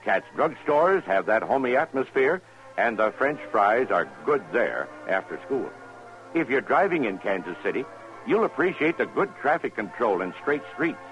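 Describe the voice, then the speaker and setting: A man's voice: medium pitch, fairly bright tone. A man reading scripted narration as an announcer in 1950s radio style. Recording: muffled old radio recording.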